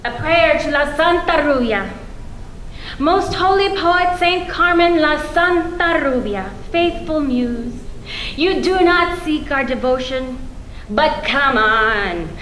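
A middle-aged woman giving an expressive, mock-solemn dramatic reading of a prayer-like poem into a stage microphone. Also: high voice, slow. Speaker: poet reading at a tribute event. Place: stage in a meeting hall with seated audience. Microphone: stand microphone. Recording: echoey hall with some background noise.

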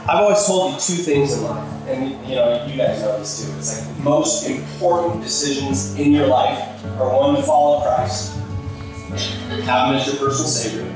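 Middle-aged man, father-of-the-bride speech, distant miking, music behind. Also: mid-range voice. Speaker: father of the bride giving a wedding toast. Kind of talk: heartfelt, humorous wedding speech. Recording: echoey distant PA with music over it.

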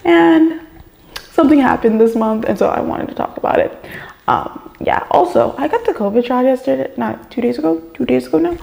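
Young woman, story-time vlogger; rambling, filler-heavy, gravelly fry throughout, sounding a bit under the weather. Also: high voice, expressive, a little fast, warm tone. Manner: rambling, chatty, many fillers and restarts. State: slightly off voice after a vaccine shot. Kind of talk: casual story time vlog. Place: bedroom gaming setup, indoors.

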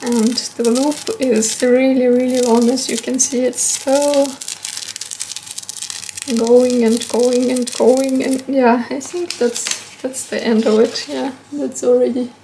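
Female vlogger, high pitch, unhurried casual haul commentary, hesitant and unscripted. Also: little pitch movement, warm tone, a little hiss or hum, poor audio.

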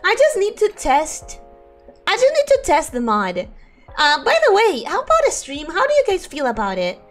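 A young woman streaming casually, her voice hoarse and ragged from sickness, giggling often as she reads chat and greets viewers. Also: very high-pitched, wildly swooping pitch, moderate pace, faint music behind.

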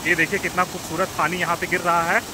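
A man's voice: high voice, little pitch movement. A man narrating a walking travel vlog outdoors, addressing viewers as friends in an earnest, concerned way. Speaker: travel vlogger. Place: outdoors at a waterfall and river. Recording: outdoor phone audio with loud waterfall noise. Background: rushing water.

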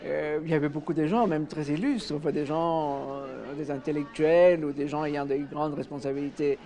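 A man's voice: on the high side, steady tone, normal speed, dark tone. A middle-aged man from Mexico, a native Spanish speaker, giving a reflective interview answer indoors. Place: indoors, exhibition room.

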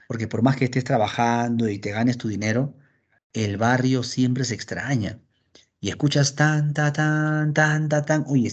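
A man teaching a philosophy class over a video call, lively and acting out his students' questions. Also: medium pitch, husky. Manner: animated, acts out students' questions. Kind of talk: online class lecture. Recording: dull lo-fi call audio.

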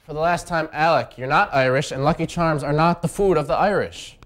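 A man's voice: a little high, fairly quick. A teenage male student anchor reading scripted news and banter as the straight man. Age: teens. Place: small indoor school TV studio.

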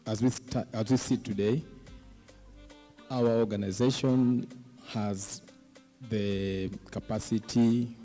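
A middle-aged man speaking as a panelist, pausing often mid-sentence, into a handheld microphone on stage. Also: lowish voice, warm tone. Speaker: panelist, fish processor representative. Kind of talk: panel discussion remarks. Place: indoor conference stage. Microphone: handheld microphone close to mouth.

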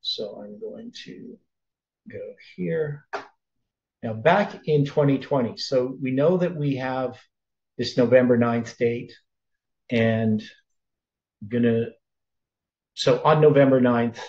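A man's voice: mid-range voice, warm tone. Older guy in his 60s walking through a bible study, lots of uh's and second-guessing, roomy dull-sounding room. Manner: explains slowly, thinks aloud with many "uh"s and self-corrections. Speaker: preacher. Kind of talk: sermon-style Bible study over screen share.

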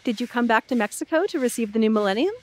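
A woman's voice: on the high side, lively intonation, very fast, close to an everyday voice. A woman playing a chatty radio host in an audio drama, friendly, inquisitive and a little wry. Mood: curious, wry. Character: curious, chatty female Canadian radio show host.

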